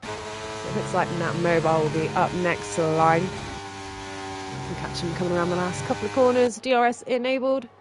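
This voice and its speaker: A live sim racing commentator with a stuffy cold, reacting quickly and excitedly to lap times. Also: medium pitch, over music. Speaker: race commentator.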